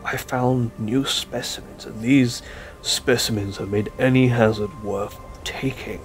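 A man's voice: medium pitch, steady tone, measured pace, faint music behind, close to an everyday voice. A man playing a driven, zealous scientist-explorer in an audio drama, earnest and intense. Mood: earnest, determined. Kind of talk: dramatic audio play acting.

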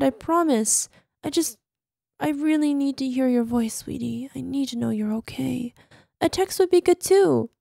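A woman's voice: sits high, creaky, mellow, no echo, clean studio sound. A woman playing an anxious, clingy girlfriend with a possessive, menacing streak in an ASMR voicemail roleplay, using sugary endearments. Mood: worried, coaxing. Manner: sugary pet names, hesitant pleading sliding into controlled threat.

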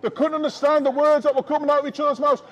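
A man with a strikingly high voice and a Northern English accent preaches outdoors on a busy shopping street. He speaks through a small headset mic and calls out to the passing crowd in an open-air sermon. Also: little pitch movement, rapid-fire, highs cut off. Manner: preaching loudly to passers-by, calling out to the crowd.

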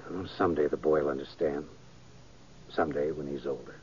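Western lawman: American, low voice, earnest and regretful, old radio drama with slightly noisy sound. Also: steady tone, fairly quick, darkish tone. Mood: defensive, uneasy. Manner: plain rural speech, earnest. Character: regretful, self-justifying frontier sheriff. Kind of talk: 1950s radio western acting. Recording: dull, noisy vintage radio recording.

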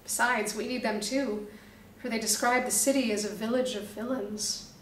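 A woman's voice: on the high side, fairly even intonation, moderate pace, fairly bright tone, reverberant, distant mic, a little hiss or hum, natural voice, poor audio. Woman voicing Ophis, an eager young snake prince.

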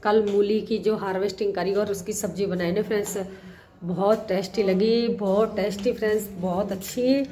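A middle-aged woman hosting a gardening vlog, hoarse from a cold and chatty, talking to viewers on a phone held at arm's length on her rooftop terrace. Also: mid-range voice, a little mellow, a little hiss or hum. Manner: chatty, repeats "friends" often. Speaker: gardening channel host. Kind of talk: casual vlog talk to viewers. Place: home rooftop terrace with plants, outdoors.

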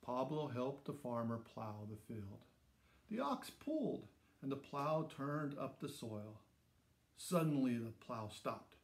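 Slow, echoey older man reading a story. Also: medium pitch, big pitch swings, vocal fry. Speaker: storybook reader. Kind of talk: read-aloud children's story narration. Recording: roomy echo around the voice.

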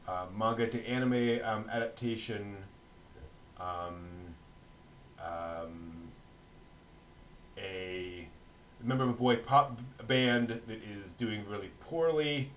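A man with a somewhat deep voice chats casually through news as a co-host. He speaks in hesitant bits, stopping and starting. The headset recording has a light layer of ambient noise. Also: takes it slowly, long pauses. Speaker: co-host of an anime news show. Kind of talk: casual chatty news rundown.

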